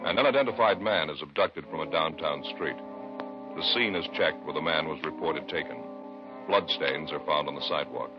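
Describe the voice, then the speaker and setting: A man announcing a 1950s radio show in solemn, measured, scripted narration. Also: very deep voice. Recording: dull vintage radio recording. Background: music.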